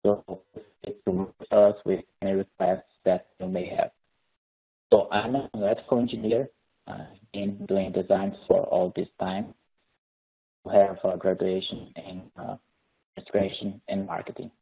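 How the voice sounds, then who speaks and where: Brazilian-accented engineer in his thirties, lowish voice, halting friendly delivery, tinny call audio. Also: level tone, creaky, husky. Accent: Brazilian Portuguese. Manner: hesitant, many "uh" fillers, friendly and explanatory. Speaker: engineer presenting a webinar. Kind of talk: technical webinar presentation. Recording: phone-like narrowband audio.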